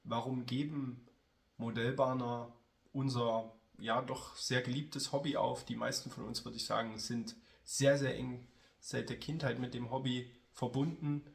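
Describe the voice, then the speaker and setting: Gravelly-voiced man with a cold. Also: mid-range voice, unhurried. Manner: calm, chatty, addresses viewers directly. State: has a cold. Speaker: model railway YouTuber. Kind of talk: casual spoken video narration.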